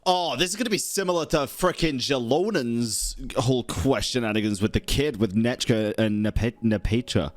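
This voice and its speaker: A man's voice: mid-range voice, moderate pace, few pauses. A young man giving casual live reaction to a video game, blunt, slangy and sweary. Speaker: streamer. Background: game music.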